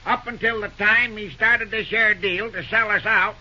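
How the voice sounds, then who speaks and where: An actor playing a stubborn, indignant country stockholder with a rural American accent and a folksy, blunt way of talking in an old-time radio drama. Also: male voice, sits high, steady tone, moderate pace, pushed and bright, heavily acted. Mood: stubborn, earnest. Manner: folksy, blunt drawl with homespun grammar. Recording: dull old radio recording.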